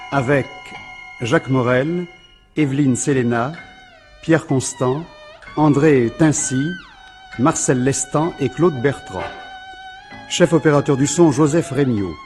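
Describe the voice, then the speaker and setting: A man's voice: medium pitch, a little mellow. A man working as a radio announcer, reading scripted drama credits formally. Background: music.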